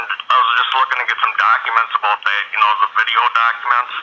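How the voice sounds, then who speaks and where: A man conducting an informal phone interview, asking questions in a halting, repetitive way. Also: medium pitch, sharp tone. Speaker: interviewer, community watch member. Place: telephone call. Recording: band-limited phone call with faint noise.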